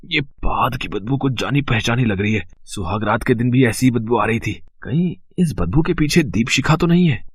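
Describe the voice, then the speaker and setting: Man playing an animated, flustered young husband. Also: medium pitch, normal speed, hardly any pauses, husky. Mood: suspicious. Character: young husband, eager, easily flustered bank manager.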